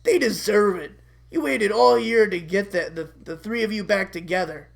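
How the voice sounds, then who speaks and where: A woman's voice: mid-range voice, moderate pace, vocal fry, close to an everyday voice. A woman voicing a small, sneering shoulder devil who goads a boy in a cartoon-style story. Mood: spiteful goading. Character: tiny devil on the shoulder, spiteful, goading boy.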